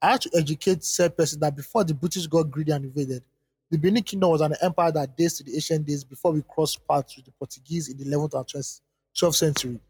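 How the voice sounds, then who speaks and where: A man who co-hosts a podcast, reading a listener's letter aloud and then chatting about it in quick bursts with frequent restarts. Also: a little high, a little mellow, husky, no echo, long reverb tail. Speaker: podcast co-host.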